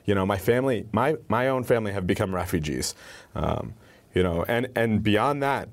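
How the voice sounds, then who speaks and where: Earnest, low-voiced man. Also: expressive, normal speed. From United States. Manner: earnest, repeats words when starting a thought. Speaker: advocacy spokesperson. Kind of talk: interview answers.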